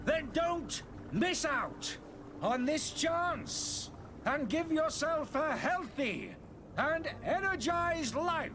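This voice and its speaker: Grandiose, high-voiced potion-peddling charlatan. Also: male voice, very animated, extremely slow, background noise, exaggerated voice. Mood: showy salesman zeal. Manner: grandiose showman's sales patter. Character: smooth-talking male potion-peddling charlatan, pompous and theatrical. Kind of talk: video game voice acting.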